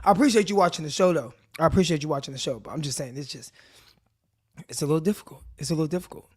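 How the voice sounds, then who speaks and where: A man with a naturally raspy, dry voice hosting a casual live sports talk stream, chatting straight to his viewers in relaxed slang. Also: a little high, very fast. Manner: laid-back slangy chat addressing viewers directly. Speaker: podcast host. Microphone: desk mic with earbuds in.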